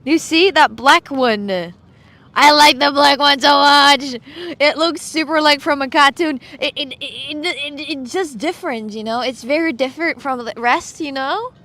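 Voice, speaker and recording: A woman from Russia, streaming casual chat on a street with her phone, excitable and playful. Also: high-pitched, normal speed.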